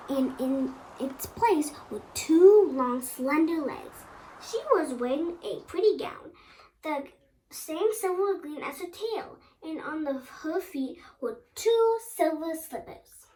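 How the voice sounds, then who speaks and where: A very high-voiced female storyteller reads a children's tale aloud. Her delivery is halting, as she stumbles over words and repeats them. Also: animated, warm tone, a little hiss or hum, rough recording.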